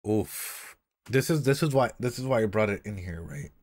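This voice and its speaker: A man's voice: fairly low pitch, animated, normal speed, a little mellow. A man hosting a livestream in a casual, slangy, sweary way, rambling through reaction and analysis and often repeating his phrases. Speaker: livestream host and practising therapist.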